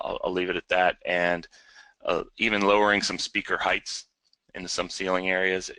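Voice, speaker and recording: A man giving an unscripted technical webinar presentation in a hesitant, halting way, often repeating words. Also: deep voice, sharp tone, no echo, low-quality recording.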